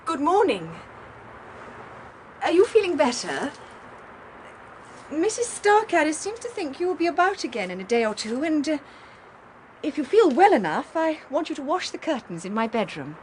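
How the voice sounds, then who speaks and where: An actress playing a practical, well-bred young lady in a radio drama, with an upper-class southern English accent and a crisp, condescending manner. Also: high voice, sing-song, moderate pace, long pauses, slight background noise. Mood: polite. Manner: crisp, prim, politely condescending.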